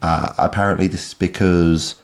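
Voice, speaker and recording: A man's voice: low voice, steady tone, moderate pace. A British man co-hosting a podcast, chatting casually with a droll, slightly mock-formal turn of phrase. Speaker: podcast host. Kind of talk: casual conversational chat.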